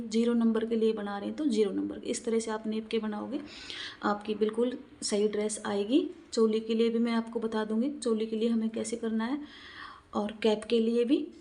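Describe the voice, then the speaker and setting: A woman's voice: a little high, mellow. A woman narrating a step-by-step craft tutorial, explaining in a repetitive, instructional way and counting aloud.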